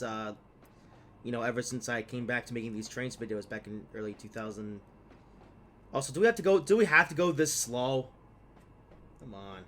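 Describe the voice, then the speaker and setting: A man's voice: mid-range voice, slight background noise. A young man with a slightly stuffed-up voice from a cold, casually commenting on his gameplay with many fillers and trailing asides. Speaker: gaming video host.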